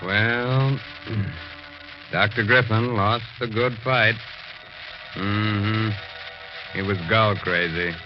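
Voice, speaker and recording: A man's voice: lowish voice, extremely slow, pauses often, highs cut off, over music. A man hosting a 1940s radio mystery show in a wry, tongue-in-cheek manner, on an old recording. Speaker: host of a radio mystery series.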